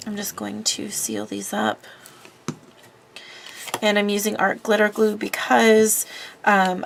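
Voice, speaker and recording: A woman's voice: medium pitch, creaky, a bit bright, slight background noise. A woman with a congested voice from a cold, chatting casually and rambling with fillers while working at her craft desk. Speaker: papercraft video host.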